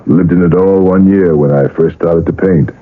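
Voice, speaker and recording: An actor playing an anxious, possessive middle-aged father in a 1970s radio drama, earnest and halting as he pleads. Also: low-pitched, fairly even intonation. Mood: urgent, persuading. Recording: muffled vintage radio broadcast.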